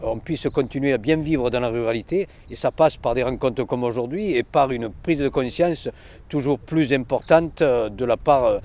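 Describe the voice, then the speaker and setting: A man with a medium-pitched voice speaks as a local elected official in a news interview. His delivery is earnest and formally worded, and he often hesitates as he builds his sentences. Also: moderate pace, darkish tone.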